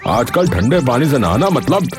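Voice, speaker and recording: A man voicing excitable, teasing village men in comic cartoon dialogue, with folksy, exclamatory banter. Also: medium pitch, moderate pace, lightly acted. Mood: folksy grumbling. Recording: music behind the voices.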